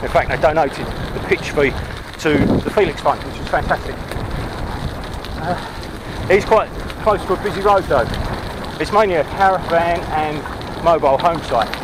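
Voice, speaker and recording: English guy in his 40s talking to his bike cam, slightly high voice, windy outdoor audio with road noise. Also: moderate pace, long pauses. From England. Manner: chatty, upbeat, rambling asides. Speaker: cycle touring vlogger. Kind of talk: casual talk to camera while cycling. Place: outdoors on country roads and a canal towpath. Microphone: action camera on the bike or held at arm's length.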